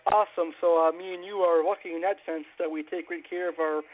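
A man's voice: fairly high pitch, steady tone, fast talker. A man with a cold hosting a call-in radio show about fish, talking informally and repeating himself. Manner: informal, repeats phrases, fillers. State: has a cold. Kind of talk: casual call-in radio talk. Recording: phone-like narrow band.

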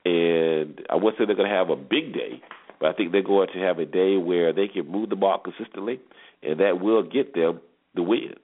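Tinny phone-quality sound, american guy with a real deep voice hosting sports talk, chatty previews. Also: normal speed. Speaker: sports show host. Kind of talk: sports commentary and game preview.

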